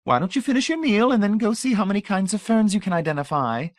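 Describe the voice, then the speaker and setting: A woman's voice: medium pitch, expressive, quick pace, no room sound, clean studio sound, voice pushed up, lightly acted. A man voicing a gushing, sweetly menacing matronly older woman who talks in a syrupy, matter-of-fact way.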